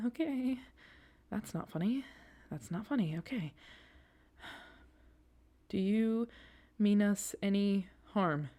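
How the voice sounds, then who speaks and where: A woman acting an excitable, reassuring young girlfriend on a date in an audio roleplay, talking casually to the listener with hesitant asides and eager repetition. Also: mid-range voice, slow, pauses often. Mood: nervous.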